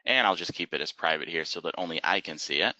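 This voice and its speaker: A man narrating an instructional webinar as a software trainer, explaining methodically step by step in a close, dry, slightly dull recording. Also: deep voice, fast talker, no echo.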